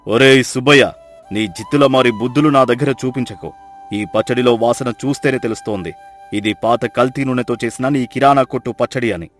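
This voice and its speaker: A man's voice: medium pitch, a little fast, soft background music, close to an everyday voice. A man acting a stern, fair village landlord in a moral story, speaking with grave authority. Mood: angry, commanding. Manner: grave, commanding.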